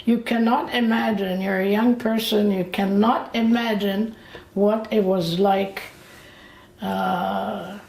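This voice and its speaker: A woman in her late eighties being interviewed about her memories. She talks haltingly, with many fillers and pauses. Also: mid-range voice, fairly even intonation, creaky, darkish tone. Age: late 80s. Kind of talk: slow, reflective memories. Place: indoors. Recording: roomy echo.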